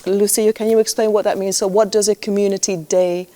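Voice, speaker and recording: A woman of middle pitch runs a round-table discussion as a coach. She prompts each student with short questions and often pauses on "um" and "uh". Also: little pitch movement, mellow. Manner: friendly, prompting questions with fillers.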